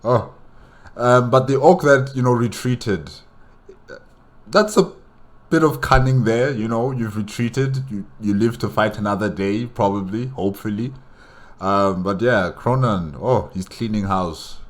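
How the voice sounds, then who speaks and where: A man's voice: fairly low pitch, measured pace. A man reacting off the cuff in casual commentary, repeating phrases for emphasis. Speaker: reaction video host.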